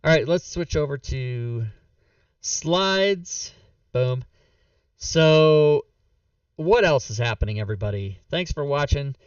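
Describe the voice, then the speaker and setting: A man's voice: on the high side, lively intonation, takes it slowly, long pauses, clean tone, no room sound. A man hosting a tabletop game stream, chatting casually and rambling with lots of fillers while losing his voice. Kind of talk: casual end-of-stream chat. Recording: dull, slightly distant, dry mic.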